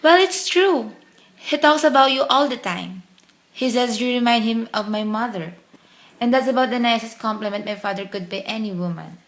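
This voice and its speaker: A lovestruck young daughter in a radio drama. Her voice is fairly high, and she comes across as eager, naive and headstrong. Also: moderate pace, a bit bright. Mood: eager, pleading. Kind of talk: radio play acting.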